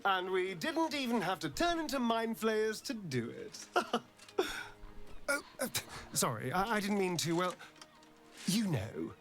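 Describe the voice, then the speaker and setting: An actor playing an excitable male adventurer in a video game, animated, breathy and easily flustered. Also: high-pitched, measured pace, long pauses, soft background music, exaggerated voice.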